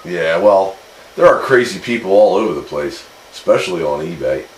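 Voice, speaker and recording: A man's voice: low voice, expressive, normal speed. A man chatting casually and matter-of-factly as host of a swap meet over CB radio. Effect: CB radio transmission. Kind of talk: casual two-way radio chat. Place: voice heard over CB radio with faint noise. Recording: roomy, faintly noisy AM CB radio.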